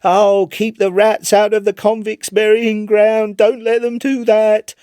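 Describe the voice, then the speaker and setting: A man acting a frantic, high-pitched doomed sailor. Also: flat delivery, unhurried, a little mellow, smooth, no room sound, studio quality. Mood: panicked crying out. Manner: desperate, fervent pleading. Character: doomed young ship's carpenter, frantic, pleading, terrified. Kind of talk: dramatic storybook reading.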